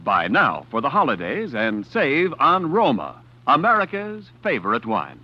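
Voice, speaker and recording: A polished male announcer delivers an old radio commercial with smooth persuasion. His pitch swings widely and cheerfully. The sound is dull and lo-fi, as on a 1940s broadcast. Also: huge pitch swings, measured pace.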